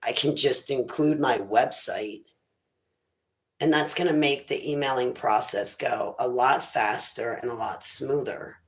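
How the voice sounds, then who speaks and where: Slow-talking raspy woman host. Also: very deep voice. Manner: rambling, faith-filled musings. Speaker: internet radio host. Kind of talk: casual livestream chat.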